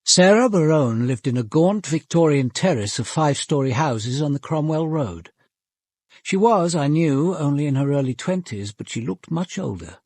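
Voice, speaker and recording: An actor playing a composed, probing senior police detective in a British radio drama, speaking in a measured, formal way. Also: male voice, a little high, expressive, darkish tone, no echo. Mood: measured narration.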